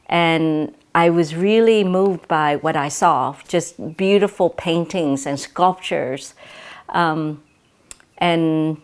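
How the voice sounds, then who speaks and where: A middle-aged woman, recalling her past in an oral history interview, halting and reflective with many fillers and restarts. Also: deep voice. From Vietnam.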